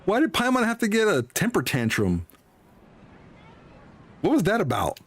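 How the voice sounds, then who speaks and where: A man's voice: high voice, wildly swooping pitch, normal speed, breathy edge. A man giving casual, slangy, joking commentary while playing through a game and reading dialogue aloud. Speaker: game streamer.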